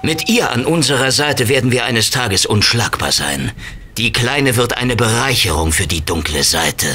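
Menacing dark lord in a radio play, forceful and dramatic, faint noise behind. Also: male voice, medium pitch, expressive, moderate pace. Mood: commanding. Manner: pompous, threatening. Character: domineering male villain leading vampire women, menacing, imperious.

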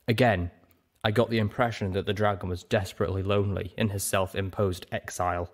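A man's voice: lowish voice, fairly even intonation, fairly quick. A man reading scripted first-person fiction as an audiobook narrator.

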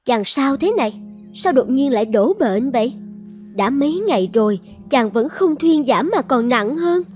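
A proud young dragon goddess played by a woman with a high voice. She speaks theatrically and clearly, like a cartoon fairy-tale wife, over faint music. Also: lively intonation, normal speed, darkish tone. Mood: caring. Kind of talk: animated fairy tale dubbing.

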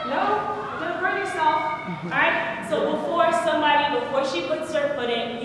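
A young woman giving a live health demonstration, speaking loudly through a handheld microphone and putting questions to the audience. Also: high voice, noisy background. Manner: projecting, quizzing the audience. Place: large church hall. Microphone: handheld microphone, far camera. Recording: very echoey hall, from far off.

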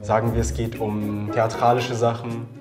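A man in his twenties from Ukraine, chatting casually as a contestant in a bright studio, earnest and reflective. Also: medium pitch, monotone, moderate pace, music in the background. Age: 20s. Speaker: guessing contestant. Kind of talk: casual chat. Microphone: lapel mic.

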